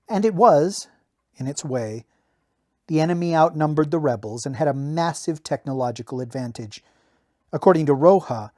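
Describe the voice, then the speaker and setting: A man's voice: on the high side, darkish tone, no room sound. A man who hosts a podcast, speaking in the style of an amateur audiobook narrator in a close home recording. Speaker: podcast host reading a novel excerpt.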